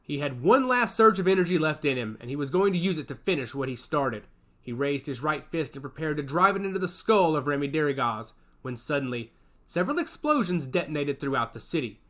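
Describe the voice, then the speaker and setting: A man's voice: on the high side, sing-song, brisk, fairly bright tone. A man reading a science fiction action story aloud as its narrator, with a dramatic storytelling delivery.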